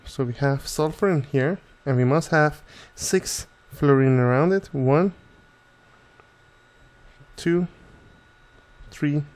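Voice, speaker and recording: Mellow-voiced man giving a tutorial. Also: on the high side, slow pace, pauses often. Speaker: chemistry tutor. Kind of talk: step-by-step tutorial narration.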